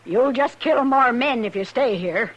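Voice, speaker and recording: An actress playing a cold, vengeful frontier widow with curt, defiant, rustic speech in a Western radio drama. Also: female voice, fairly high pitch, animated, a little slow, slightly rough, muffled recording. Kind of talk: 1950s Western radio acting.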